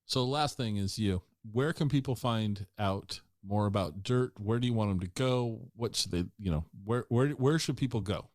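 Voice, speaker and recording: A man's voice: lowish voice, normal speed, few pauses, no room sound, studio quality. An American man hosting a podcast interview in an informal, rambling, conversational way. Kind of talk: casual interview chat.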